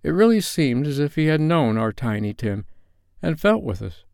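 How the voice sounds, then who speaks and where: A man's voice: fairly high pitch, very animated, moderate pace, vocal fry, darkish tone, no echo, clean studio sound, voice pushed up. A man voicing a kindly, talkative Victorian father from a storybook, earnest and effusive in his tenderness. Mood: effusive, grateful.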